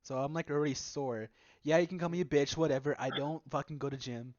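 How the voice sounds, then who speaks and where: A young man telling stories in a casual, sweary chat with friends, halting and defensive as he banters. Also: a little high, a little mellow, dry recording, muffled recording. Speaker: podcast host.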